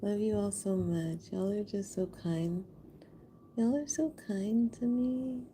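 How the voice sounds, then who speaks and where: A woman's voice: mid-range voice, takes it slowly, warm tone, slight background noise. An American woman from Houston, Texas, chatting casually and affectionately with viewers as she hosts a live art stream. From Houston, Texas, USA. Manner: affectionate, appreciative, addresses viewers directly. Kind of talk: casual livestream chat with viewers while making art.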